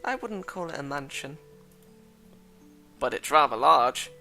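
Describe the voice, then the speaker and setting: A courteous, gentle young woman, as a man reads her aloud from a visual novel, her lines soft and measured. The voice is gravelly and bright, a little higher than his usual talk. Faint music plays underneath. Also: lively intonation, full character voice.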